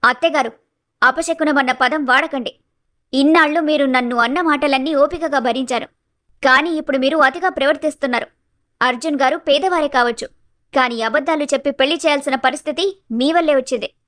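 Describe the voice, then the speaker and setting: A woman voices a composed young daughter-in-law from an animated family tale. Her pitch is high, and she stands her ground with polite, reproachful firmness. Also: fairly quick. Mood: firm, reproachful. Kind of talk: animated family story acting.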